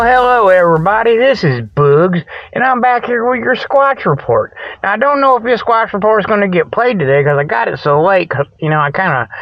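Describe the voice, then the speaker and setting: A man's voice: high voice, no room sound. A man hosting a comic mock report in a folksy, rambling, colloquial way that often loses its thread, on lo-fi audio. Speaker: host of a comedic mock news report. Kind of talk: rambling comic monologue, spoof sports and ad report.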